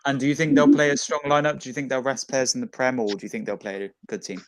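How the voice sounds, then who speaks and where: A man of middle pitch hosts a relaxed football chat, tossing out questions and reading viewer comments. His voice sounds dry and close to the mic. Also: fast, dry recording. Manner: chatty, reads out viewer comments. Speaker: podcast host.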